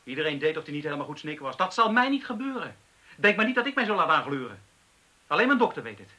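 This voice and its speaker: A touchy male intruder in a radio play, with a high and extremely sharp voice. He is defensive, stubborn and blunt. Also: sing-song, fast, heavily acted. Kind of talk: radio drama acting.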